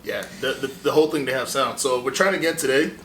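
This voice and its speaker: A young man from Ghana hosting a relaxed, chatty interview with plenty of fillers. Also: medium pitch, fast talker. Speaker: host. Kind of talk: casual interview. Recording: echoey room, distant mic, faint hiss.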